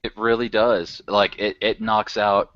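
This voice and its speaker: A man's voice: fairly low pitch, little pitch movement, unhurried, a bit bright, no echo. A man chatting casually as a podcast guest, rambling and chuckling often. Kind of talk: casual chat. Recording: dry, dull lo-fi recording.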